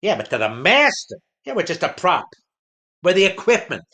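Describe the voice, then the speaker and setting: An aging ventriloquist's dummy full of grievances in a comic audio drama. The voice is bright and forceful, swinging up and down as he complains emphatically and circles back on his own phrases. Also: sits high, huge pitch swings, normal speed, heavily acted. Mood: indignant, griping. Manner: emphatic, griping, repeats himself. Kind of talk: comic radio play acting.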